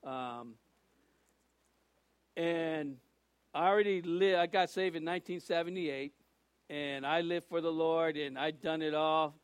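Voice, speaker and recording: A man's voice: a little high, a little slow, pauses often, smooth, no room sound. A man, giving a loose, folksy sermon full of restarts and small jokes. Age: 60s. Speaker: preacher. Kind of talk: informal sermon.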